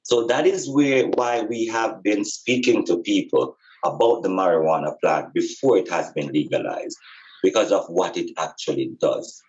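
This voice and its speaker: A man giving an informal, explanatory spiritual talk in a deliberate, emphatic way, repeating phrases for stress. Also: low-pitched, darkish tone, dull audio. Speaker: podcast speaker and spiritual teacher.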